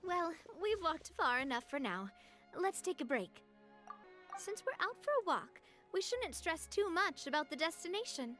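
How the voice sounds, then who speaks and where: Caring young boss lady in a game, high-voiced and upbeat. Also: sing-song, moderate pace, sharp tone, soft background music, exaggerated voice. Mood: cheerful.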